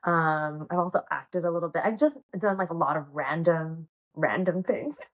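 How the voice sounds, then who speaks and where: A woman's voice: low-pitched, moderate pace. Bubbly giggly woman, heavy fillers. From United States. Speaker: guest, pole dance instructor and playwright. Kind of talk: casual interview chat.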